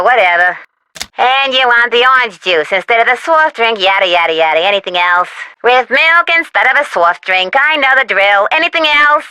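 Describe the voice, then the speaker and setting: A woman's voice: a little high, bright, forceful tone, a little hiss or hum, low-quality recording. An actress playing a bored, brusque young woman taking orders and calls in a video game, curt and flippant. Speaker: room service and hotel operator character.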